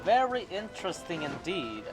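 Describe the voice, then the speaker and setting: A man streaming a game, giving casual, halting commentary in short, repetitive reactions. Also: high-pitched, very animated, rough recording. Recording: game music behind the voice.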